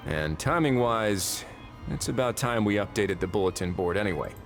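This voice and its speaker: A man's voice: fairly low pitch, lightly acted. A man voicing a shrewd, composed official with dry, wry authority in a video game. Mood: wry, assured. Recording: faint music behind the voice.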